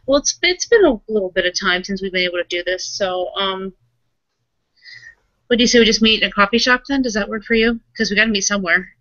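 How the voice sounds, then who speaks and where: A woman with a medium-pitched voice improvises as a tabletop roleplayer. She talks informally and corrects herself as she goes. The audio is dry and a little muffled. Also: animated, quick pace, pushed and bright, dry recording. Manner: informal, loose, self-correcting chatter. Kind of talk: casual improvised roleplay and narration.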